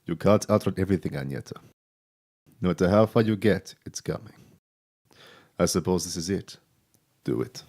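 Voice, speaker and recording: An actor playing a roguish, cheeky elf thief in an audio drama, sardonic and theatrical in manner. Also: male voice, low-pitched, normal speed, creaky, long pauses, darkish tone. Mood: resigned.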